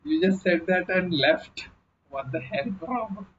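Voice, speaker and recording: Young man with a Malayalam accent, host of a casual live stream, fairly high voice, slightly muffled desk-mic sound with faint hiss. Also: animated, slow, fairly bright tone. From Kerala, India. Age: young adult. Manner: chatty, laughing, reading chat aloud. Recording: slightly dull audio with faint noise.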